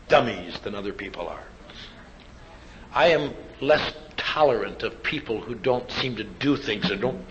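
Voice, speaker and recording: Male recovery speaker, older, wry and anecdotal, mid-pitched voice, lo-fi live recording with faint noise. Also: a bit bright. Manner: plainspoken, anecdotal storytelling with wry asides. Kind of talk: personal testimony speech to an audience. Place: live convention talk.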